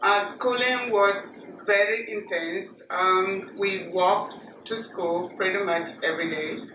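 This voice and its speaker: A middle-aged woman from Ghana with a Ghanaian accent, recalling her childhood in a measured, plain way with frequent fillers. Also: mid-range voice, steady tone. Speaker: interviewee. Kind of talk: oral history interview. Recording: echoey room, distant mic, noisy.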